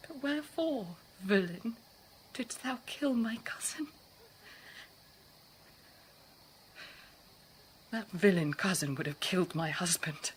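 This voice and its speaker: An actress playing a passionate young bride in classical radio drama, with a theatrical, impassioned verse delivery. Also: female voice, medium pitch, lively intonation, moderate pace, slight background noise, natural voice. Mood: indignant, grieving.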